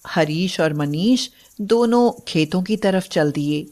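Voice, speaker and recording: Slow, lowish-voiced woman storyteller. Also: expressive, background noise. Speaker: narrator. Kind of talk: scripted story narration.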